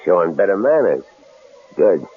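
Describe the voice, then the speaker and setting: An actor playing a menacing, uncouth bruiser in a radio drama, leering and needling with gruff familiarity. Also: male voice, low-pitched, sing-song, normal speed. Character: menacing, uncouth bruiser named Jake, a possible killer. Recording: lo-fi old radio recording, faint hiss.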